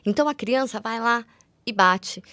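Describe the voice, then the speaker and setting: Higher-voiced Brazilian woman explaining medicine. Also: normal speed, a bit bright, dry recording. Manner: explains step by step in everyday words. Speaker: guest ophthalmologist on a podcast.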